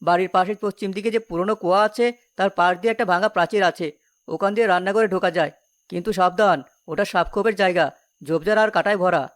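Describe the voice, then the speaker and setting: A woman's voice: fairly low pitch, fast, no echo, studio quality, close to an everyday voice. A woman voicing a cautious, superstitious village shopkeeper uncle in a cartoon story, warning and confiding. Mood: cautioning.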